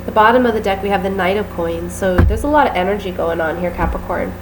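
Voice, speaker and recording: A woman's voice: mid-range voice, quick pace, music in the background, low-quality recording. A woman with a sinus infection giving a casual tarot reading to camera, sounding stuffy and nasal, apologetic and chatty with many fillers. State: sick with a sinus infection, sniffly. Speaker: tarot reader.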